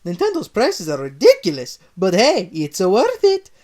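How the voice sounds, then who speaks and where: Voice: medium pitch, huge pitch swings, moderate pace, a little mellow. A reader voicing a cheerful cartoonish plumber with a put-on Italian accent, exclaiming in a sing-song way. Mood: excited. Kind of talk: comedic fan fiction reading.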